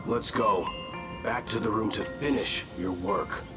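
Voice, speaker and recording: A stern corporate guard voiced by a man with a deep voice. He is curt and businesslike, a henchman from a game story, with clear music underneath. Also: a little slow, close to an everyday voice.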